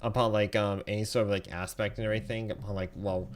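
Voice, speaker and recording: A man's voice: lowish voice, little pitch movement, fast talker, a little hiss or hum. A man streaming a game, rambling and full of fillers as he comments. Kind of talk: gaming commentary.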